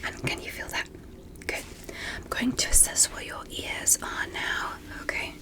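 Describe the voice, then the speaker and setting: Slow whispering ASMR woman. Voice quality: soft, breathy whisper. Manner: gentle, slow, deliberate, with short measured phrases. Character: gentle, fascinated alien doctor examining a human. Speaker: ASMR performer. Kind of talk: ASMR medical roleplay as a curious alien examiner.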